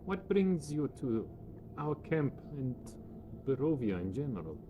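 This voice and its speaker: A man's voice: mid-range voice, sing-song, a little slow, muffled recording, voice pushed up. Man playing a young Vistani woman, welcoming and solemn, noisy room. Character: young Vistani woman, quiet, weary, welcoming fortune-seer.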